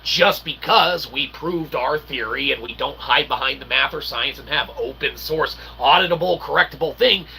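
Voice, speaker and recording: A man with a creaky voice delivering a rambling philosophical monologue in verbose, mock-formal wording, constantly tagging phrases with "okay" and "all right". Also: mid-range voice, normal speed, few pauses, pushed and bright, a little hiss or hum, low-quality recording. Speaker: independent researcher and channel host.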